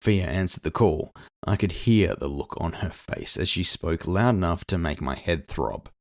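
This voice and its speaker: A man reads first-person fiction as its narrator. His voice is low with plenty of fry. The recording is very dry, with hardly any room sound. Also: lively intonation, normal speed, no room sound.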